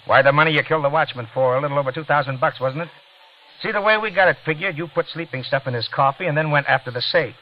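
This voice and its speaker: An actor playing an unhurried, sceptical detective inspector with an American accent and a casual, quietly accusing manner, in a 1940s radio thriller. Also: male voice, a little high, lightly acted. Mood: wry, accusing. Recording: dull old radio recording, faint noise.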